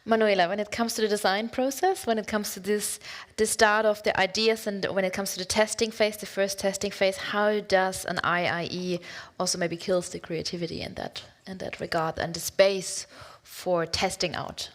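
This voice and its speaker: A woman's voice: medium pitch, moderate pace, hardly any pauses. A woman moderating a symposium panel, prompting speakers and calling on the audience with frequent laughs. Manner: lively, laughing, friendly prompting.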